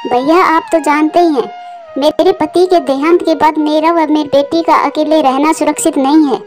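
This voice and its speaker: A woman's voice: high-pitched, steady tone, moderate pace, a little mellow, faint music behind, natural voice. A woman voicing an anxious, devoted young widowed mother bird in a cartoon, with an earnest, pleading way of talking. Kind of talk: Hindi moral-story cartoon dialogue.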